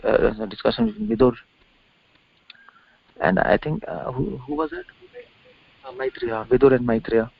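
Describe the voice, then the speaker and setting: A man's voice: medium pitch, creaky, no room sound. A middle-aged man lecturing on scripture off the cuff as a religious teacher, emphatic, repeating phrases and posing rhetorical questions. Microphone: conference call. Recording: dull lo-fi conference-call audio.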